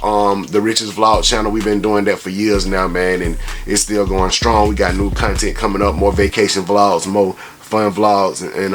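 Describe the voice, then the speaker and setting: A man's voice: on the low side, a bit bright, a little hiss or hum. A man hosting a casual sneaker review vlog, talking in a slangy, conversational way full of tag phrases. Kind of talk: casual product review vlog with shout-outs.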